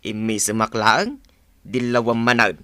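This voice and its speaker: An actor playing a mild, questioning husband in a radio drama, with an Ilocano accent. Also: male voice, lowish voice, unhurried, sharp tone, dry recording. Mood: mildly curious.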